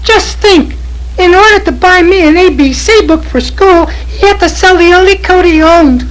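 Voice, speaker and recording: A woman voicing an eager, innocent little wooden puppet boy in an audio fairy tale, with a small, childlike, squeaky voice and an earnest manner. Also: moderate pace, poor audio. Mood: plaintive. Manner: earnest, excitable.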